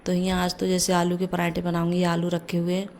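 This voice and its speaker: Hoarse mom vlogging while she cooks, low gravelly voice, super flat, kitchen hum behind. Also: fairly quick. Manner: chatty, leans on the filler "jaise". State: hoarse from a cold. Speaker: vlogger, mother. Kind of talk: casual home vlog narration. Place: home kitchen.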